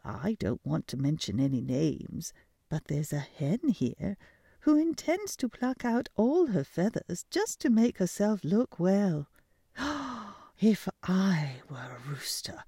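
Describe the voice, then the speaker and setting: A woman voicing a sly, gossipy hen in a storybook tale, leaning in with hushed, confiding whispers. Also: mid-range voice, very animated, takes it slowly, creaky, slightly rough, no room sound, clean studio sound, full character voice. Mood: catty.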